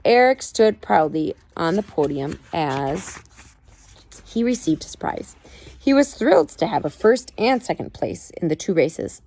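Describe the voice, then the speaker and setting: A woman reading a biography aloud at home in a measured storytelling way, with expressive emphasis. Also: lowish voice, slight background noise. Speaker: parent reading aloud to child. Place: at home with a child nearby.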